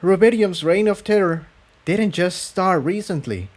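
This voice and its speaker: A man puts on a weary mare who has defected, in a storybook reading. The voice is lifted in pitch, slow and hesitant, with each word carefully chosen. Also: expressive. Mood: grave. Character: weary, hesitant female pony defector explaining herself.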